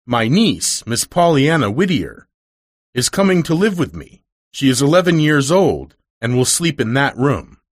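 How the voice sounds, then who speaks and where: A man's voice: mid-range voice, big pitch swings, unhurried, voice pushed up. A man voicing a stern, severe, wealthy middle-aged woman who speaks stiffly and dryly, in a storybook reading.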